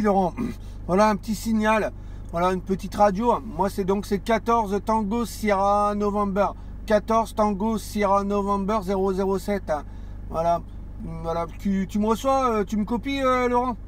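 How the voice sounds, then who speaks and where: A man's voice: high voice, moderate pace, slight background noise. A man chatting on CB radio from a parked car, hesitant, with many restarts and fillers. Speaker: CB radio operator. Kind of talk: casual radio chat.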